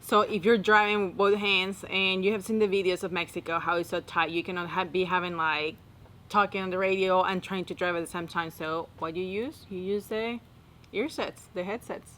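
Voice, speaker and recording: A woman co-hosting a vlog in casual chat, chatty and quick to chime in. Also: on the low side, steady tone, a bit bright. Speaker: co-host of an RV travel vlog.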